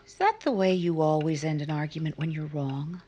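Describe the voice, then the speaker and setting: An actress playing a guarded, bitterly proud lonely woman in a romantic 1950s-style radio drama, with measured, pointed, probing delivery. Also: low voice, dark tone, slight background noise, lightly acted. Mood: hurt, defiant.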